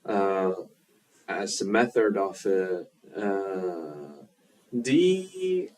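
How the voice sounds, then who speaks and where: A filmmaker from China, a native Chinese speaker, answering interview questions in English with many pauses, fillers and restarts. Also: male voice, low voice, lively intonation, darkish tone. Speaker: filmmaker guest. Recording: distant from the mic.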